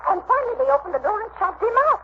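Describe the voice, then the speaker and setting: An indignant landlady in 1940s radio drama. Her high voice races along, breathless and chattering. The old recording is dull and very noisy. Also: very animated, very fast. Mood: agitated. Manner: breathless, rambling, scolding. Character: flustered, indignant, chattering working-class London landlady, married woman.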